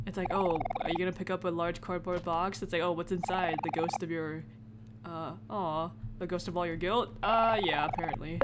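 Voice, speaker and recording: A woman giving casual, reactive let's play commentary, reading game text aloud and adding informal asides. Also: on the low side. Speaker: gamer narrating a playthrough. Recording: heavy background noise, clicks.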